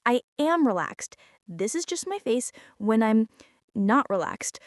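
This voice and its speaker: A woman performs a bratty schoolgirl bully in an intimate ASMR roleplay. Her voice sits fairly high and swings expressively through sarcastic, exasperated grumbling. The sound is very dry, as she grudgingly addresses the listener. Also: very animated, unhurried, no room sound. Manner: whiny, sarcastic, exasperated complaining with sighs. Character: bratty teenage girl bully, grumbling, secretly softening toward tentmate.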